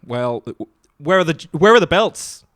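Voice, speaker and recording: A man's voice: on the high side, lively intonation, unhurried, a bit bright, dry recording, studio quality. A man chatting casually and comically as a podcast guest, teasing and sarcastic with mock-grandiose turns.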